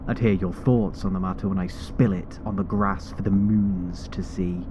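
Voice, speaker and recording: An actor playing a menacing rival monster hunter in a fantasy audio drama, formal and condescending. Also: male voice, low voice, fairly even intonation, normal speed, lightly acted. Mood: threatening. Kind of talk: fantasy audio roleplay acting. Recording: dull sound with background noise.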